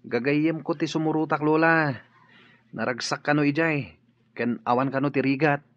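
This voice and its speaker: A man's voice: on the high side, fairly even intonation, normal speed, no echo, close to an everyday voice. A young man acting as a restless student giving up his studies, defiant and sulky, in a radio drama. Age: young adult. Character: restless young man quitting college for friends. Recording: dull audio with background music.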